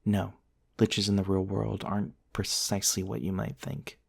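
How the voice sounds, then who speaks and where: A man playing a gentle, eccentric vampire prince from the nineteenth century, softly telling his story in an intimate, genteel ASMR roleplay monologue. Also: fairly low pitch, level tone, creaky, slightly rough, full character voice. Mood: calm storytelling. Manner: soft-spoken, wistful, genteel storytelling with pauses.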